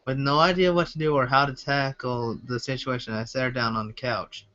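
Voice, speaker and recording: A man's voice: medium pitch, fairly even intonation, a little fast, a bit bright. A man reading a first-person story aloud as an amateur, stumbling over words now and then. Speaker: amateur fan fiction reader. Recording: dull lo-fi home recording.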